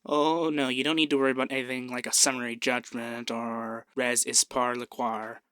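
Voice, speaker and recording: Man playing a bright-voiced peppy young femboy barrister. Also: medium pitch, level tone, normal speed, clean tone, dry recording, lightly acted. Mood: reassuring, businesslike.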